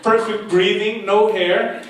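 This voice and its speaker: Young stand-up comic, chatty and self-mocking, fairly high voice, echoey hall, miked from far off. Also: male voice, steady tone, takes it slowly, crowd noise. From half Portuguese, half Argentinian. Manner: chatty, playful, self-mocking, talks to the audience. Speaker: stand-up comedian and course leader. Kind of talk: stand-up comedy set. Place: live comedy show in a hall. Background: audience laughter.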